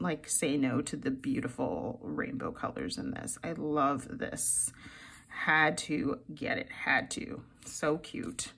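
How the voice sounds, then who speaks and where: A woman's voice: low-pitched, fairly bright tone, slightly rough. A young woman chatting casually through a sticker haul at her desk, gushing and giggly, her voice a little froggy from the early morning. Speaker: planner vlogger.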